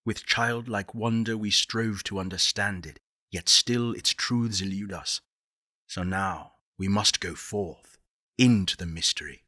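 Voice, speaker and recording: An actor playing a reflective, reverent visionary director in a strategy game, speaking in a deliberate, contemplative and rhetorical way. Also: male voice, on the low side, sharp tone, dry recording, clean studio sound. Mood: awed, resolute. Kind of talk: scripted dramatic quotations from a strategy game.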